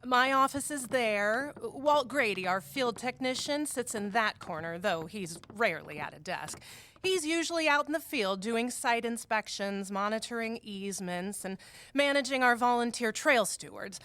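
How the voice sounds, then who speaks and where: A woman running a small office in an audio drama. Her voice sits a little high, and she is businesslike and dry. She sounds like a practical, stretched-thin boss. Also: expressive, normal speed, a bit bright. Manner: businesslike, dry, matter-of-fact. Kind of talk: scripted audio drama dialogue.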